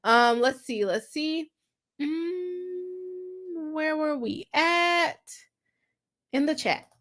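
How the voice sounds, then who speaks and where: High, bright-voiced congested woman. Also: very slow. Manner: chatty, laughs often between remarks. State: stuffy, congested nose. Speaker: attorney hosting a live stream. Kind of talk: casual live-stream chat, reading viewer comments.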